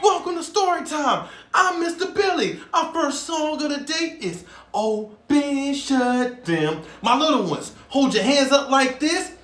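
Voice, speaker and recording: A man's voice: extremely high pitch, expressive, a little slow, few pauses, a bit bright, slight background noise. A man hosting a children's storytime in a hyped, sing-song, call-and-response way, reading rhythmically to a beat. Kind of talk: rhythmic read-aloud over hip hop instrumentals. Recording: roomy echo with music. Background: hip hop instrumental music.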